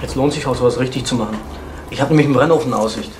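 A man's voice: medium pitch, very fast, music in the background, natural voice. An actor playing a troubled young man who talks in an agitated, rebellious way in an old radio drama. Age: young adult. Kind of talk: 1970s-80s radio drama acting.

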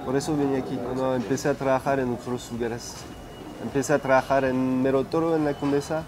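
A man's voice: medium pitch, steady tone, fairly quick. A man in his twenties from France speaking Spanish with a French accent, answering interview questions as a chef, hesitant with many fillers. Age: 20s. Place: restaurant dining room, indoors. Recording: noisy restaurant ambience.

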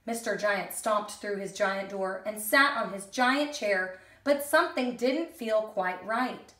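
A young woman reading a picture book aloud expressively, the way one does for small children. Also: mid-range voice. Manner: expressive, slow and deliberate for children. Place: indoors in a room at home. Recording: echoey room sound.

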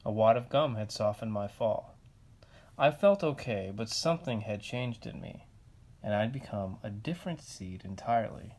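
Bad sunflower seed , performed by a man: picture-book character, deliberate and dramatic, very lively intonation. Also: on the low side. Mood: wistful, dramatic. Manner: dramatic, deliberate storybook delivery. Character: grumpy, self-confessed bad sunflower seed, later trying to be good. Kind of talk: children's picture-book reading.

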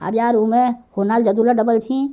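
Cartoon role for a woman: exasperated, gullible rural mother, Kumaoni accent, grumbling delivery, fairly high pitch. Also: steady tone, normal speed, dark tone, highs cut off, lightly acted. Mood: anxious, insistent. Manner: grumbling, rustic. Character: gullible, exasperated village mother of a grown son. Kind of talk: comic cartoon dialogue.

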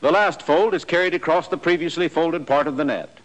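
A man giving scripted, measured instructional narration for a 1940s army training film. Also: on the high side, a bit bright, poor audio.

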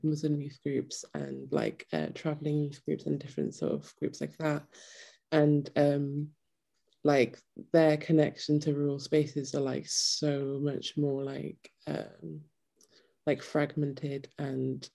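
Creaky young Welsh woman, low-key. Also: dark tone. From Wales. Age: young adult. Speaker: curator and panel moderator. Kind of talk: informal panel moderation. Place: room at home, video call. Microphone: video call.

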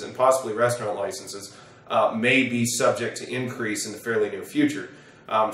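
A middle-aged American man, an attorney explaining to camera in a measured, wordy way in an office. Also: medium pitch. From United States. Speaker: attorney, presenter. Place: small wood-panelled office, indoors. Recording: roomy, echoing sound from a distance.

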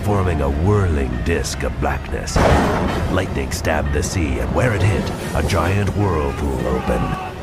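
Very deep, gravelly male storyteller. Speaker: narrator. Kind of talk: dramatic scripted audio storybook narration. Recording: loud music under the voice. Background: loud dramatic music.

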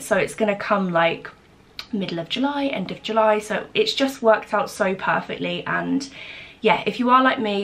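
A woman's voice: medium pitch, fairly bright tone. A British woman in her twenties chatting casually and informally to camera as a lifestyle vlogger, in a room at home. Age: 20s. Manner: informal, chatty, frequent "like" and "literally". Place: small home office room indoors.

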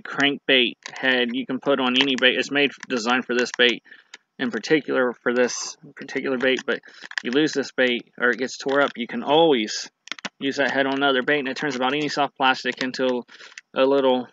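A man's voice: medium pitch, sharp tone. A man, talking casually and rambling to camera outdoors at dusk as a fishing channel host, with frequent ums and restarts. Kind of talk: casual product review to camera. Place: outdoors in a grassy yard at dusk. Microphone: built-in camera mic at arm's length. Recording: dull lo-fi camera audio with faint noise.

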